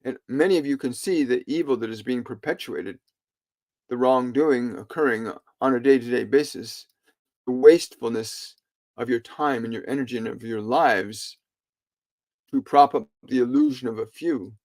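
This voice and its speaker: A man delivering a solemn spiritual sermon in formal, oratorical phrasing, breaking it into short, halting phrases with frequent pauses. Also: medium pitch, darkish tone. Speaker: spiritual channeler delivering a message.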